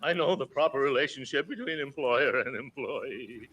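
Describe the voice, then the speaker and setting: An actor playing a pompous middle-aged uncle who blusters, stammers and giggles nervously in 1940s radio comedy style. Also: male voice, medium pitch, animated, normal speed, fairly bright tone, muffled recording, natural voice. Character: pompous, flustered middle-aged uncle, a girdle company boss. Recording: noisy old radio recording.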